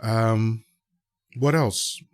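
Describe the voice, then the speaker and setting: Male podcast host, late fifties, from the Dominican Republic. Slow, reflective, conversational solo delivery in a dry, close space. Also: lowish voice, steady tone, clear, resonant tone, no room sound. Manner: conversational, musing, often checks with "right?".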